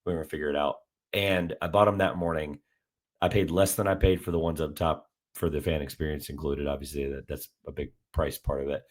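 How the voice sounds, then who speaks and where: Podcast host, man with a very low voice and a touch of rasp, loose rambling chat. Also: fairly even intonation, brisk. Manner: rambling, lots of "like" fillers and restarts. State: slightly raspy from heavy talking. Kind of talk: casual sports podcast chat.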